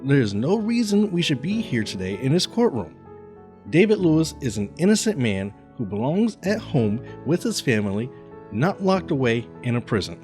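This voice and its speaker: A man playing an impassioned defense lawyer in an animated comedy, with a grandiose, emphatic delivery. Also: medium pitch, moderate pace, faint music behind, natural voice. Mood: grand, impassioned. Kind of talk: animated comedy courtroom dialogue.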